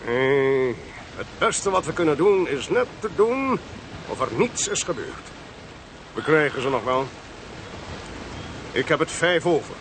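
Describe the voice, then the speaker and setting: A male actor in a Dutch radio play, voicing a cold, smooth-talking, ruthless intelligence official in a measured, condescending way. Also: fairly high pitch, very animated, background noise. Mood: coolly dismissive.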